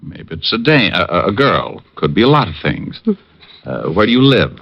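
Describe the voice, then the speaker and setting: Wisecracking old radio detective, low voice, laconic, soft hearted, muffled lo-fi recording. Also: male voice, big pitch swings, normal speed, gravelly, no room sound, full character voice. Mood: gently reassuring. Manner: laconic, casual, hesitating. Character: wry world-weary male private eye, soft-hearted wisecracker. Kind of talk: 1940s-50s radio drama acting and narration.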